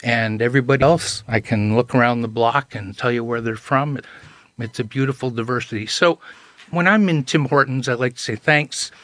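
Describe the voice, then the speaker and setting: A man's voice: mid-range voice, moderate pace, fairly bright tone, dry recording. A man, a podcast guest telling anecdotes in an earnest, self-deprecating way. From Canada. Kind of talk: casual chat, storytelling.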